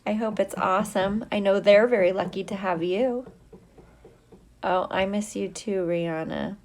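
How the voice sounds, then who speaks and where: A woman's voice: mid-range voice, steady tone, normal speed, a little mellow. A middle-aged woman, a teacher, chatting casually and playfully with young viewers on a livestream, drawing out her greetings. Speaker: teacher hosting a livestream for students.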